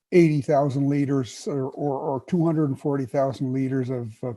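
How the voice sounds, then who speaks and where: Husky, mellow man who keeps clearing his throat. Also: medium pitch, fairly even intonation, moderate pace. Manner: clears his throat often, emphatic and knowledgeable. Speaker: guest whisky expert. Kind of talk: casual interview talk.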